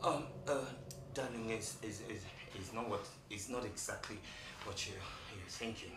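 A man's voice: sits high, huge pitch swings, moderate pace, noisy background. A man with a Nigerian accent playing a panicky, pleading cheating husband who stammers and repeats himself in a film melodrama. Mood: flustered. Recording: distant echoey room with music.

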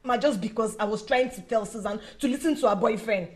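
An actress playing an excitable, indignant young woman in a film drama, emphatic and theatrical, repeating herself for effect. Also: on the high side, fairly quick, close to an everyday voice. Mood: aggrieved.

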